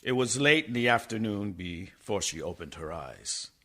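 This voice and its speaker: A man's voice: lowish voice, animated, normal speed, pushed and bright. A man playing a solemn, grieving doctor who narrates his tale in a radio play. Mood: solemn, haunted.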